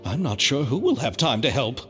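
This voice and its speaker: Actor as an anxious, animated, earnest filmmaker man. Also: mid-range voice, fairly quick, big-room sound, loud music behind the voice, close to an everyday voice. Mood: worried. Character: anxious, earnest film enthusiast, humble and hesitant. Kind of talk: anime game voice acting.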